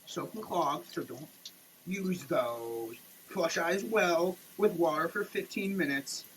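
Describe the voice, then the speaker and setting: A man giving a comedic dramatic reading of a product label, theatrical and over-emphatic, with halting delivery. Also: on the high side, a bit bright, a little hiss or hum, rough recording. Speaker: comedic performer.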